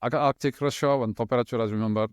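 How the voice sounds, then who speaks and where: A middle-aged man whose first language is French, speaking as an interview guest in a relaxed, hesitant way with many fillers and restarts. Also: mid-range voice, very fast, clean tone, no room sound, clean studio sound. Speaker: interview guest, LNG specialist. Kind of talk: conversational interview.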